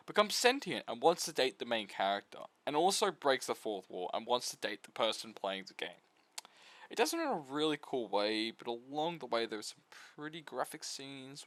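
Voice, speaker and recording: A man's voice: mid-range voice, a bit bright, dry recording. A man giving a casual video essay review of visual novels, full of flippant, irreverent asides.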